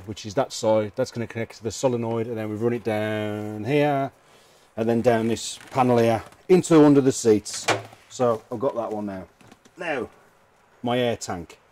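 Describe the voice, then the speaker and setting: A man's voice: medium pitch, mellow. A British man giving a casual hands-on how-to commentary, informally thinking aloud as he works. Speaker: DIY vehicle vlogger.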